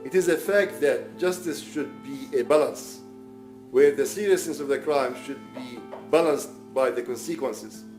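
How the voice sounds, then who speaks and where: A bereaved father reading a prepared statement aloud in a solemn, measured, formal manner. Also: mid-range voice, a little mellow, faint music behind.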